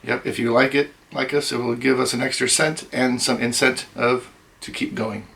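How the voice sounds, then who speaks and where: A middle-aged man hosting a casual chat, rambling with many ums, his voice going hoarse. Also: mid-range voice, steady tone, normal speed, fairly bright tone. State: losing his voice. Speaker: host.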